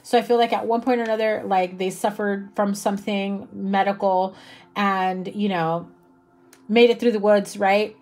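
Chatty tarot reader, slightly hoarse woman. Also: mid-range voice, steady tone, normal speed, faint music behind. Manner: chatty, many fillers, soft and reassuring. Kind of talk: casual tarot reading to camera. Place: indoor room with a candlelit table.

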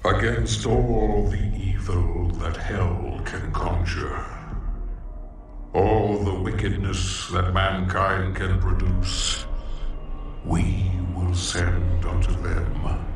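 An actor playing a grave, godlike narrator of an ancient prophecy in a video game, solemn and deliberate. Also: male voice, sits low, little pitch movement. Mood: solemn menace. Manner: solemn, deliberate, whispering in places. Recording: cavernous echo, distant, over loud music.

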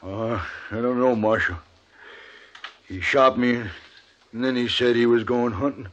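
A man's voice: mid-range voice, steady tone, unhurried, muffled recording, close to an everyday voice. An American man playing a weak, frightened, wounded buffalo skinner in an old radio western. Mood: weak, pained. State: weak, gasping from a gunshot (acted). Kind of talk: 1950s radio western acting.

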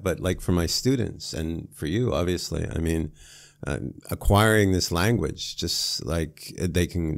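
A man in his thirties from Canada, a language teacher chatting as a podcast guest, filling his speech with "like" and "I mean", recorded in a small studio on a boom-arm mic. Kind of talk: casual conversation. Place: small studio with foam panels.

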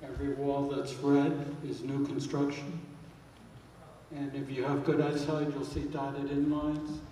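Brief: mellow, monotone man presenting plans, picked up from far off in a big hall. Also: mid-range voice, measured pace, a little hiss or hum. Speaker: committee presenter at a town hall meeting. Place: large school auditorium. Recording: echoey hall, heard from far off.

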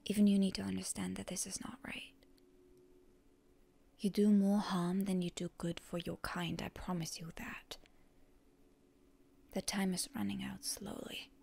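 A proud young heroine, acted by a woman, defiant toward her enemy in an intimate roleplay ASMR. Her voice is breathy and husky, often dropping toward a whisper. She takes her time over her words, full of stubborn, indignant bluster. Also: medium pitch, level tone, dark tone, exaggerated voice. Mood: stubborn, earnest. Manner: indignant, halting, tsundere bluster.

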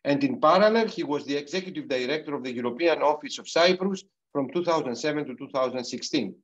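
A man's voice: on the high side, lively intonation, quick pace. A middle-aged man with a non-native English accent hosts an online webinar, introducing a guest with many fillers and restarts over a video call. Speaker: host of an online university webinar. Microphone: computer mic on a video call. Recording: dull, muffled video call audio.

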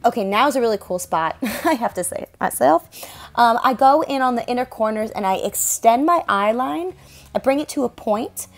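A woman's voice: on the high side, expressive, moderate pace, soft background music. A young woman, a stage performer, talking casually over her makeup tutorial. She is chatty and self-deprecating and laughs often.

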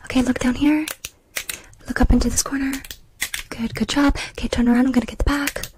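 A woman's voice: high-pitched, level tone, brisk, background noise. A woman doing ASMR personal attention role-play, repeating words and giving short instructions. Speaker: ASMR performer.

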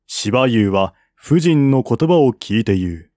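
A man reading a historical novel aloud as scripted narration, in a formal, measured literary manner. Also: mid-range voice, animated, mellow, dry recording, clean studio sound. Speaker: audiobook reader.

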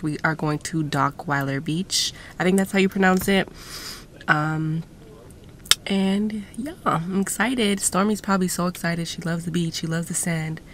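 A woman's voice: low voice, a little hiss or hum. A young woman in her twenties chatting casually to a phone camera from inside a parked car, laid-back and swearing now and then. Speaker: vlogger. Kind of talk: casual vlog talk to camera. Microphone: phone camera at arm's length.

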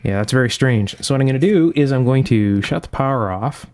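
A man's voice: mid-range voice, animated, a little fast, darkish tone, slight background noise. A man talking through a hands-on repair step by step, unscripted, methodically thinking aloud at an indoor workbench. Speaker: host of an electronics repair channel. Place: indoor workshop bench.

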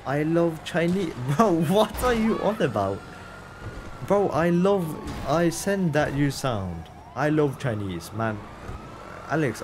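A man's voice: on the high side, noisy background. A young man streaming a game live, reading chat aloud and answering it with incredulous, laughing banter.

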